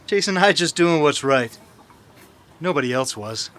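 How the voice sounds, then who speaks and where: A man's voice: fairly high pitch, animated, a little slow, a bit bright, a little hiss or hum. A man playing an earnest, wry cowboy hero in an old-time radio western.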